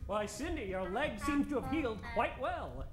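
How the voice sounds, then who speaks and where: A man's voice: extremely high pitch, sing-song, moderate pace. Guy doing a old radio adventurer doctor, very theatrical n exclamatory, roomy echo, music behind. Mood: genial, curious. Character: eager, fearless gentleman adventurer doctor. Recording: roomy stage recording, music underneath.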